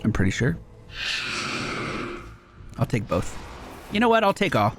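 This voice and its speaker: A man's voice: medium pitch, sing-song, a little slow, creaky, over music. A man giving casual, chatty gameplay commentary as a streamer, informal in his wording. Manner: chatty, informal, reads game text aloud. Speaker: gaming streamer.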